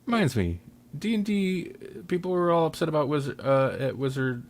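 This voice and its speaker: A man's voice: a little high, animated, moderate pace, slight background noise. A man hosting a podcast in casual chat, rambling loosely as he searches for his words. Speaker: podcast host.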